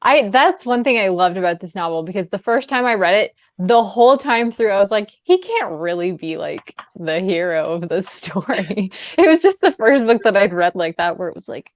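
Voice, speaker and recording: A woman's voice: medium pitch, lively intonation, moderate pace, hardly any pauses, no echo. A woman hosting a casual livestream book chat in a chatty, informal way. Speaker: livestream host. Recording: dull, lo-fi livestream audio.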